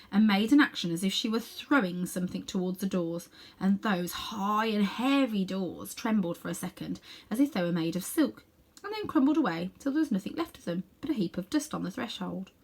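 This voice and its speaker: Female storybook reader, mid-pitched, animated intonation, expressive children's narration. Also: fairly quick, breathy edge.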